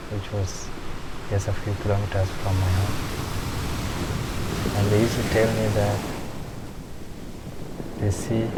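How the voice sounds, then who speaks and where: Low-voiced young man from South Sudan. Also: very noisy. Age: young adult. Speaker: interviewee. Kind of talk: documentary interview.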